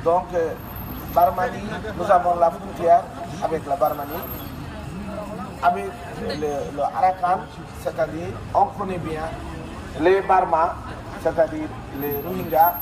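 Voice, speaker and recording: A man speaking earnestly at an outdoor protest, halting often with fillers and restarts. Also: fairly high pitch, fairly even intonation, a crowd in the background. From Bangladesh. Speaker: human rights association president. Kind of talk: street protest speech. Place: outdoors on a city square at a protest. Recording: noisy street recording.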